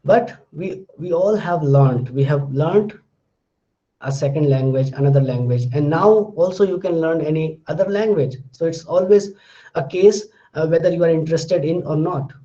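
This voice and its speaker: High-voiced Indian man lecturing methodically. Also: normal speed, warm tone, highs cut off. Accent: Indian English. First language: Hindi. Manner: methodical, recaps terms, tags points with "fine". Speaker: linguistics lecturer. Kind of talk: classroom lecture.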